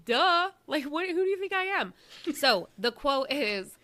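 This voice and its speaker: A woman's voice: high-pitched, sing-song, unhurried, pushed and bright. A woman co-hosting a live broadcast segment on missing persons, bubbly and playful between earnest readings of case details. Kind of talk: casual live show chat.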